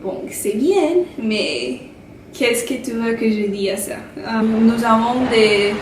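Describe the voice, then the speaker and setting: A woman's voice: a little high, expressive, takes it slowly. A young woman from Colombia speaking French with a Colombian Spanish accent, talking frankly and chattily to camera as a vlogger and laughing between points. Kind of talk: casual talk to camera. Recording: roomy sound from a distant mic, faint hiss.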